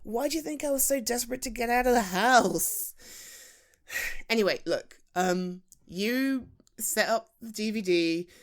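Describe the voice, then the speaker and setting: A British woman playing a playful, affectionate best friend in an intimate audio roleplay, speaking in a halting, giggly, conversational way. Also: a little high, close to an everyday voice. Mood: teasing, playful. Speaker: ASMR roleplay voice performer. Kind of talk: intimate, naturalistic audio roleplay addressed to the listener.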